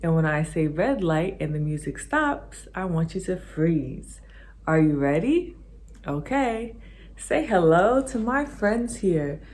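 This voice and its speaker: Woman host of a kids' show, low voice, unhurried rhythmic delivery. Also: animated. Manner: slow rhythmic rhyming read-aloud; bright sing-song teacher voice for kids. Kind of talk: reading a picture book aloud to kids.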